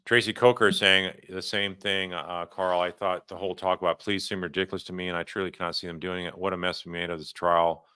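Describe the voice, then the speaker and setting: A man hosting a livestream, giving unscripted legal commentary and answering viewer questions in a halting, conversational way, often rephrasing himself. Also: low voice, flat delivery, bright, forceful tone, clear, resonant tone, dry recording, studio quality.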